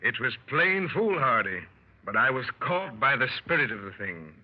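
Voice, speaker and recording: An actor playing a plucky gentleman adventurer, telling his exploits wryly in 1930s radio style. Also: mid-range voice, moderate pace. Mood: eager storytelling. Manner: wry, animated storyteller. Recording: muffled 1930s radio broadcast.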